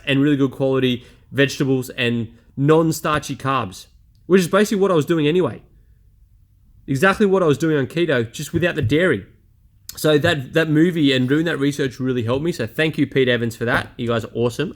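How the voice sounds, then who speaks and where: A man, talking bluntly and casually about his own experience in a personal vlog. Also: on the high side. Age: 30s. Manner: blunt, chatty, self-deprecating. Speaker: vlogger. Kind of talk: candid personal vlog monologue.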